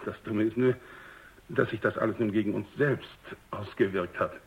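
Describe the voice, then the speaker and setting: A man's voice: on the low side, steady tone, lightly acted. A man playing a courteous general who explains things formally and carefully in a German radio drama, on a dull lo-fi recording. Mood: reassuring, formal. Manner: formal, polite, measured. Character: courteous male general commanding a research base, explaining himself.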